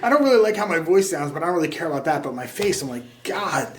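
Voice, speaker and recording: A man's voice: fairly high pitch, sing-song, fast. A man hosting an interview in a casual, chatty style. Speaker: interview host.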